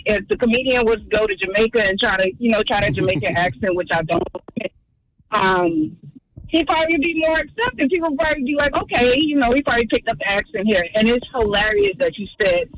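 A woman's voice: a little high, expressive, quick pace, phone-quality sound, a little hiss or hum. A woman calling in to a radio talk show, chatting casually and laughing often as she rambles on. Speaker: caller. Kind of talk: casual call-in chat.

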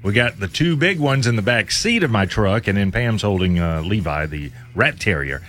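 Male morning radio co-host, low and animated, easygoing and chuckly, soft music bed. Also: normal speed. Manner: easygoing, chuckling, lots of backchannel. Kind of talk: casual morning radio chat. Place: radio studio. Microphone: studio microphone.

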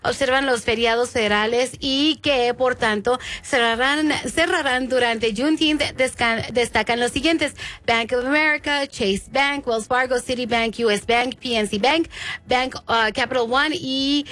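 Chatty high-voiced woman in her sixties. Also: measured pace, fairly bright tone. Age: 60s. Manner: chatty, mixes in English words. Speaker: radio co-host. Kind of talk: casual radio chat.

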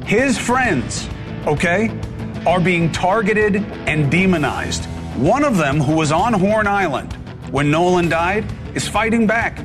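Brief: male opinion host, blunt and emphatic, fairly high voice, soft music behind. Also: expressive, takes it slowly. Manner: blunt, emphatic, talks straight to the audience. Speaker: commentary show host. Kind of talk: opinion commentary.

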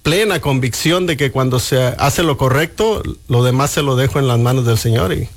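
A man's voice: medium pitch, moderate pace. An older man from Mexico, a guest on a radio show, speaking earnestly and reflectively in a studio booth. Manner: earnest, reflective, with frequent restarts. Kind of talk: radio interview.

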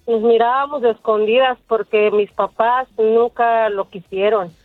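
A woman's voice: a little high, steady tone, a little slow, no echo. A woman with a Mexican accent answering casually as a caller to a radio show, over the phone. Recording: thin phone line, music behind.

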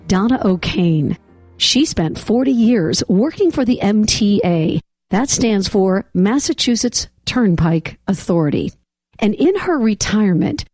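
A middle-aged woman from the area hosts an interview podcast, her voice husky and froggy from laryngitis. Her tone is dark and mellow, and the recording is dry and close, with faint music under it. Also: medium pitch, dry recording. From Boston area. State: hoarse from laryngitis. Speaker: podcast host.

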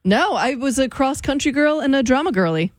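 A woman's voice: sits high, animated, fairly quick, dry recording, clean studio sound. A woman in her thirties co-hosting a radio show, easygoing and self-deprecating in casual chat. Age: 30s. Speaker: radio co-host. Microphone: studio microphone.

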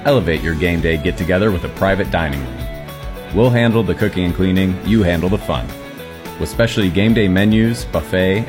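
A man reading radio commercials in a smooth, upbeat, polished way. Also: deep voice. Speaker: ad announcer. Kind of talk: radio ad read. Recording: ad with clear music bed.

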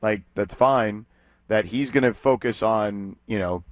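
A man co-hosting a morning sports radio show, arguing his points after halting, stammered restarts. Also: lowish voice, no echo.

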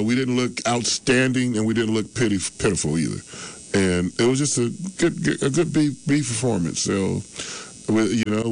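A man's voice: fairly low pitch, rough recording. A man in his early sixties grading his team's game in casual sports talk, rambling and repeating himself. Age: 60s. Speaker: sports show regular.

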